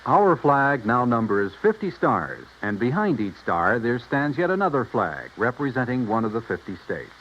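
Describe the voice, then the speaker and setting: A man reading scripted public service narration as a radio announcer, formal and declamatory. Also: medium pitch. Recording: muffled old radio recording, faint noise.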